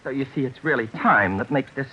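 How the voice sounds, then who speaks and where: Casting: male actor as prim, apologetic, softly menacing gentleman villain; mid-range voice, old radio thriller, dull hissy recording. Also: medium pitch, lively intonation, fairly quick, close to an everyday voice. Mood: politely menacing. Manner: prim, courteous, hesitant. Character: fussy, polite, soft-mannered murderous male schemer. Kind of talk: old-time radio suspense acting.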